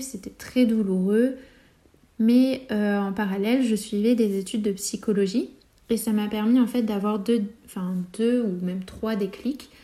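Soft, mellow young woman, candid and hesitant. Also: medium pitch. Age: 20s. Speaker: guest sharing personal testimony. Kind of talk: personal storytelling, candid reflection.

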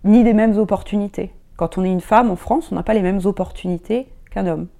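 Mellow-voiced woman, halting speech. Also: mid-range voice, creaky. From Germany. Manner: hesitant, many restarts and fillers. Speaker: guest. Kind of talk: casual interview talk.

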